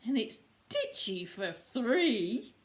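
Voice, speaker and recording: A woman's voice: fairly high pitch, big pitch swings, takes it slowly. A woman voicing a flustered, complaining little old lady from a children's storybook, pleading and exclaiming in a plaintive way. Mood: distressed, pleading. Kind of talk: children's storybook read-aloud.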